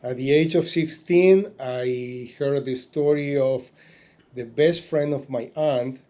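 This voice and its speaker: Man in his forties, warm dark tone, halting interview guest talk. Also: mid-range voice. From Argentina. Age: 40s. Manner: halting, with many fillers and restarts. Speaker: podcast guest.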